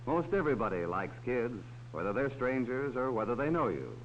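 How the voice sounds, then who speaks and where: A man's voice: medium pitch, big pitch swings, fairly quick. Man narrating an old safety film. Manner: talks down to children. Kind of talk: 1940s educational film narration. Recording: dull old film soundtrack with faint hiss.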